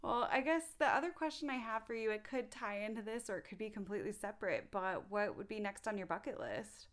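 A woman's voice: mid-range voice, lively intonation, fast talker. A young woman hosting a podcast, asking friendly, curious questions in a casual interview. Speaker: podcast host.

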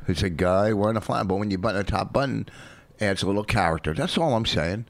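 A man's voice: fairly low pitch, fast talker, creaky, no room sound. A man co-hosting a podcast, teasing and rambling in casual banter. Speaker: podcast co-host, comedian.

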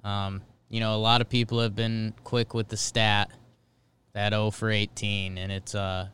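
A man's voice: on the low side, little pitch movement, a little slow, a bit bright, clear, resonant tone. A man co-hosting a casual sports podcast from a studio, easygoing and emphatic with slang. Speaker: podcast co-host.

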